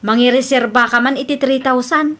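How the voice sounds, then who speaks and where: A woman with a Filipino Ilocano accent playing an excitable, stubborn expectant young mother in a radio comedy drama, insistent and complaining. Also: high-pitched, brisk. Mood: eager, pleading. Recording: background music under the voice.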